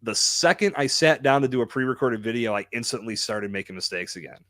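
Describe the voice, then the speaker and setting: A man's voice: medium pitch, animated, brisk, fairly bright tone, dry recording. A man hosting a live podcast, talking in a candid, emphatic, colloquial way into a close microphone. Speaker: podcast host. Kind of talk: live conversational podcast talk.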